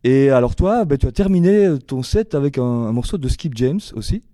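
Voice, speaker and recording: Mellow man, casual chatty interviewer. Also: medium pitch, expressive, normal speed, no echo, studio quality. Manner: casual, chatty, trails off mid-question. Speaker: radio interviewer. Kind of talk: friendly interview questions.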